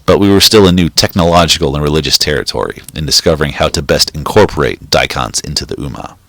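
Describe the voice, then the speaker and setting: A man with a very low voice reads a short story aloud in a steady, measured way. The recording is dry and close, with no room sound. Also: no room sound. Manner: steady, measured reading with occasional slips. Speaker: reader.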